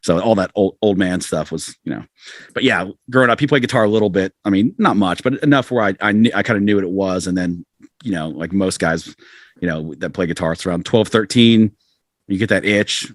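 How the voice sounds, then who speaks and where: Low-voiced, quick-talking American man in his forties. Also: no echo, studio quality. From southern United States. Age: 40s. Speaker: podcast guest, rock musician. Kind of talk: casual interview storytelling.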